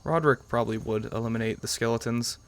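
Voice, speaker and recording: A man's voice: medium pitch, fast. A young man giving casual let's-play commentary as a gaming video host, musing aloud in a rambling way over game music. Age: young adult.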